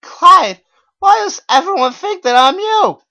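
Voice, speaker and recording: A man voicing a panicky, whiny, dumbfounded hero in a dramatic story reading, stammering and shouting in disbelief. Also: extremely high pitch, very animated, measured pace, pitched above the performer's own voice, exaggerated voice. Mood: panicked, frantic. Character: panicky, dumbfounded male protagonist, pleading and whiny.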